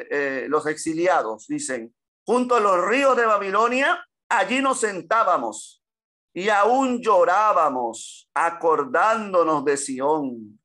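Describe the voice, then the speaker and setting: A man's voice: fairly high pitch, fairly bright tone. A man from Peru teaching a Bible class at a church, often breaking in with praises and calling listeners "brother" as he reads and explains scripture. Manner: peppers speech with "gloria al nombre del Señor" and "amén"; addresses listeners as "hermano".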